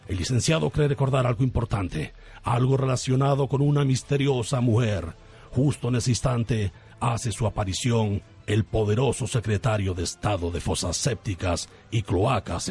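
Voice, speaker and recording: A man's voice: medium pitch, a little mellow, husky. A man presenting and narrating on radio in a theatrical, tongue-in-cheek, mock-dramatic way. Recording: faint music under the voice. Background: music.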